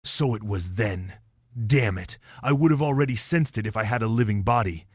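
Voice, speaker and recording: A man voicing an ancient undead clan patriarch, proud and furious, who bursts out in bitter shouts. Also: on the low side, normal speed, no room sound, clean studio sound, lightly acted. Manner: shouting, wrathful, bitter outbursts.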